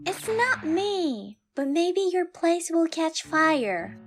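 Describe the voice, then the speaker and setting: A woman's voice: extremely high pitch, very animated, slow, soft background music, pitched above the performer's own voice, heavily acted. A woman voicing a smug, taunting, fate-obsessed young female villain in a dramatized manga story. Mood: haughty. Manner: taunting, mock-innocent, theatrical.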